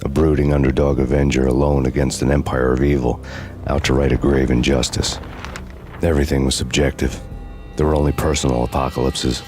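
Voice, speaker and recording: An actor playing a brooding, cynical avenger, narrating a noir video game in a world-weary deadpan. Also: male voice, very deep voice. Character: brooding, cynical hard-boiled male avenger. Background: music.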